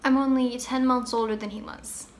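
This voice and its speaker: A young woman playing a candid, grieving girl in a conversational, wistful dramatic monologue with pauses. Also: a little high, fairly even intonation, lightly acted. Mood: matter-of-fact, wistful.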